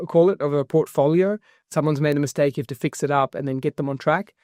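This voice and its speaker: A man's voice: a little high, no echo, clean studio sound. A man, talking energetically as a guest in a casual interview. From New Zealand. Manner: energetic, fast-flowing explanations with fillers. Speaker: guest, property investment founder.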